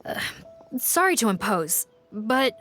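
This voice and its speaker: A woman's voice: medium pitch, sing-song, takes it slowly, fairly bright tone. An actress playing a dutiful, protective female officer in a video game, speaking in a formal, firm manner. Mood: businesslike.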